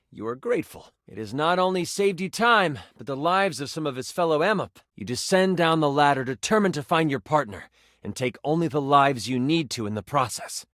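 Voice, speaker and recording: A theatrical game master narrates fantasy adventure in a highish male voice. The delivery is expressive and swings widely in pitch, savoring suspense, recorded close and dry for a video game. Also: dry recording. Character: personified D20 die, male, theatrical, enthusiastic game master. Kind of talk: dramatic tabletop fantasy narration.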